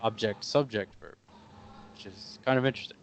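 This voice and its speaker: A young man co-hosting a podcast, casually explaining things step by step with lots of fillers and pauses. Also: fairly low pitch, muffled recording. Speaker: podcast co-host. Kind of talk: casual conversation.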